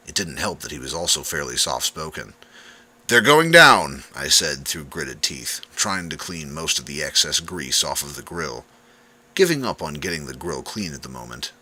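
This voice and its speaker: A male narrator reads a scripted first-person story dramatically. His voice is low and very bright and forceful, with a lively, swinging intonation. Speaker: audiobook narrator.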